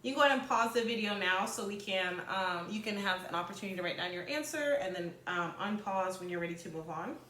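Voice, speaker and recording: A woman's voice: mid-range voice, lively intonation, fast talker, few pauses, fairly bright tone, roomy sound, slight background noise. A woman teacher reading aloud to students, expressively, with informal chatty asides and explanations.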